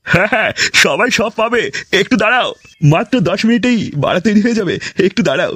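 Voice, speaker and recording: A man's voice: high voice, expressive, fast, fairly bright tone, slightly rough, full character voice. A man playing a brash, crude street-food seller in a comic cartoon, hawking with showy patter. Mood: cheeky. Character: brash cheeky male street-food hawker, crude salesman.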